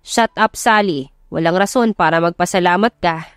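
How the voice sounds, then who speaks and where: A woman's voice: on the low side, moderate pace, clear, resonant tone, dry recording, faint music behind, natural voice. A cranky old Filipino grandfather, acted by a woman, grumbles and jokes bluntly in an audiobook story. The recording is dry and close. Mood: gruff. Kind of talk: audiobook character acting.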